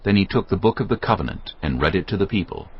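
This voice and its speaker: Deep, lively male scripture narrator. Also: fast talker, a little hiss or hum. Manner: expressive storytelling delivery. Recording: dull lo-fi audio, faint music.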